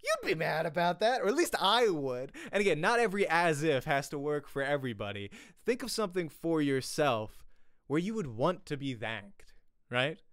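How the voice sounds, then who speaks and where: Man, informal acting teacher, conversational, very expressive pitch, dry close-mic sound. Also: moderate pace, dry recording. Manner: animated, conversational, often checking in with "right?". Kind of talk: informal online acting lesson. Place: small home room, indoors. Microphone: close desk microphone, headset on.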